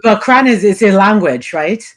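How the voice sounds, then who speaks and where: A woman's voice: medium pitch, animated, normal speed. A woman, an academic guest, explaining ideas in an assured, explanatory way. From Nigeria. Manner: assured, explanatory, frequent affirmations. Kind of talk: interview answers, explaining.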